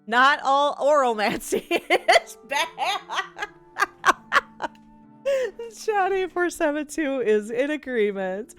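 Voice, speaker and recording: A woman's voice: high voice, big pitch swings, extremely slow, pauses often, a bit bright, soft background music. A woman game streamer reading a visual novel aloud and reacting expressively in a live let's play.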